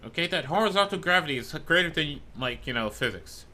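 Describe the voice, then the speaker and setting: A man's voice: fairly high pitch, lively intonation, fast, sharp tone. A man streaming a game in a loose, rambling chat who swears freely, and who is losing his voice. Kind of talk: casual gameplay chat.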